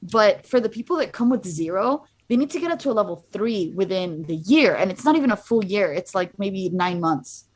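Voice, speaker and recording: A woman's voice: mid-range voice, animated, fairly quick, few pauses. A young woman from Miami, a student abroad, chatting casually as a guest and rambling with many fillers. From Miami, USA. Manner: rambling, heavy use of "like". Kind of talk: casual chat.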